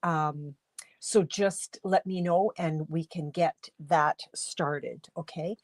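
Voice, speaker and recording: A woman from Canada hosting a casual craft livestream from her home studio, congested with a cold, chatty and rambling, often clearing her throat. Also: deep voice, takes it slowly. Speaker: livestream host and stamping demonstrator.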